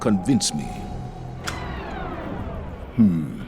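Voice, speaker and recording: Grave, stern commander. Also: male voice, low voice, big pitch swings, over loud music, full character voice. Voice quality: measured, authoritative.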